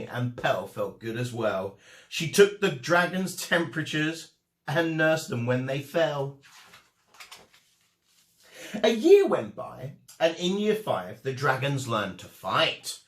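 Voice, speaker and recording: A man reading a children's storybook aloud as a storyteller, with expressive, dramatic emphasis. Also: medium pitch. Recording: echoey room.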